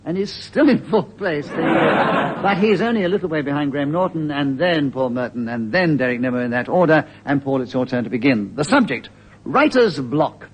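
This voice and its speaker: A man's voice: on the high side, expressive, moderate pace. A man chairing a comedy panel game, handing out points and turns in front of a theatre audience. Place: radio theatre with a studio audience. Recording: theatre audience noise, clicks. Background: loud audience.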